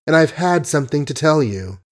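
A man's voice: a little high, expressive, brisk, voice pushed up, lightly acted. A man voicing an earnest, lovesick young suitor who pleads. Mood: pleading.

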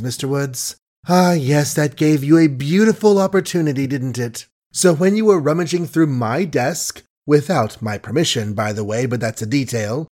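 A man's voice: a little high, a little mellow. A man voicing a young woman who mocks with sweet, suave sarcasm in an audiobook reading. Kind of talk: audiobook character voice.

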